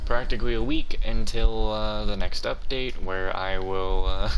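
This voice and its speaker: A man's voice: on the low side, background noise. A man giving casual video game playthrough commentary as host, talking in a hesitant, rambling way.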